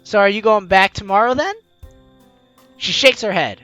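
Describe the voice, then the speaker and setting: A video game host with an animated, teasing, exclamatory way of talking, reading aloud and commenting playfully. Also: mid-range voice, normal speed, lo-fi sound, faint music behind. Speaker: let's-play video host.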